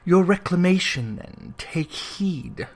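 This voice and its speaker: Man voicing a hushed, slow, solemn ghostly spirit. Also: high-pitched, expressive, gravelly, full character voice. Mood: gentle, commanding. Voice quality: soft, hushed. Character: gentle, solemn ghostly spirit, soft and reproachful.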